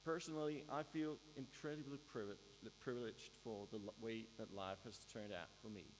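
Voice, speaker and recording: A man delivers a formal graduation speech in a deliberate manner, pausing for emphasis. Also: steady tone, a little hiss or hum. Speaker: graduation ceremony speaker. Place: large hall at a university ceremony, mains hum behind. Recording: echoey hall, humming low-quality live-stream.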